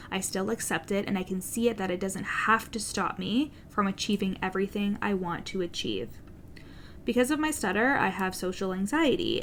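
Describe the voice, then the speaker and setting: A woman coach with a stutter talks straight to her listeners in a solo podcast episode, her manner earnest and direct, now and then swearing for emphasis. Her voice sits at a medium pitch for a woman. A faint low rumble hums under the recording. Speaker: business coach, podcast host.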